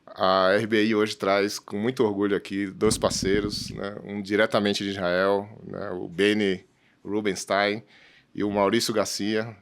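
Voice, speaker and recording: A man with a Brazilian Portuguese accent hosting a conversational business discussion in a halting way, often adding tag questions. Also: fairly low pitch, flat delivery, clear, resonant tone. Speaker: host.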